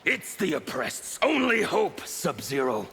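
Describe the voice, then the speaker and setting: An actor playing a bitter, menacing revolutionary of chaos in a video game, declaiming defiantly in a gravelly growl. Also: male voice, on the high side, wildly swooping pitch, measured pace, heavy background noise, heavily acted. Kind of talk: video game fight banter.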